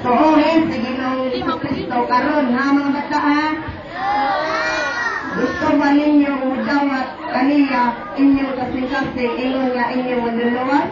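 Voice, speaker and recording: A woman's voice: sits high, little pitch movement, unhurried. A woman with a Visayan Filipino accent voicing an earnest, devout puppet who exhorts children in short phrases at a puppet show. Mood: earnest exhorting. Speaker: puppeteer leading children in prayer. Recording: distant, very echoey, muffled, noisy crowd.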